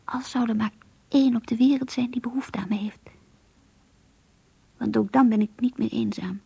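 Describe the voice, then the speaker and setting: An actress playing a lonely, melancholy young working woman in a radio play, confiding her thoughts in a hushed, introspective way. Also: fairly high pitch, fairly even intonation, normal speed, gravelly, slightly rough, dry recording, heavily acted. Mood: dejected, yearning. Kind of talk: Dutch radio play monologue.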